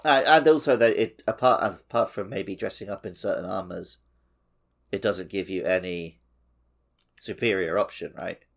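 A man's voice: sits low, moderate pace, creaky. A man co-hosting a gaming podcast, chatting in an informal, conversational way. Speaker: podcast co-host. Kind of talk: casual video game discussion.

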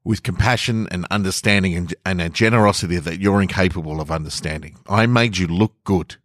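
A man's voice: sits low, a bit bright, dry recording, studio quality. A man reading a story monologue as an aggrieved, uncomfortable office employee making an earnest, defiant stand. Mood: defiant, bitter. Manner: deliberate, emphatic, earnest.

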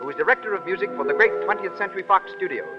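High-pitched 1930s American radio announcer man. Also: lively intonation, racing. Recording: muffled vintage 1930s radio, loud music.

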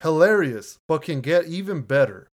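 A man with a deep voice co-hosts a relaxed podcast from home. He reads listener reviews aloud in an easygoing way, often laughing and restarting his words. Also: normal speed. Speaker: podcast co-host. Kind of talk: casual chat reading listener reviews. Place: home room, recording remotely.

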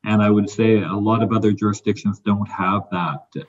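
Guy on a mining panel, lowish voice, kinda dull muffled sound. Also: fairly even intonation, normal speed. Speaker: mining company executive. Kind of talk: panel discussion.

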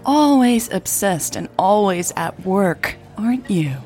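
A woman's voice: mid-range voice, animated, slow, darkish tone, faint music behind. A woman voicing a teasing, sociable female tech blogger in an audio drama, playful and mock-exasperated.

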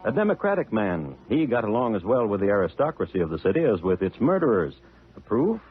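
A man's voice: lowish voice, very animated, fairly quick. A man performing as a dry, urbane true-crime narrator, wry and literate, in scripted 1950s radio style. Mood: wry, deliberate. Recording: tinny old radio broadcast with music.

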